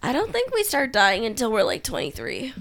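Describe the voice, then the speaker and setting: A woman's voice: fairly high pitch, wildly swooping pitch, fairly quick, vocal fry, fairly bright tone. A woman in her twenties in casual group chat, teasing and joking. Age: 20s.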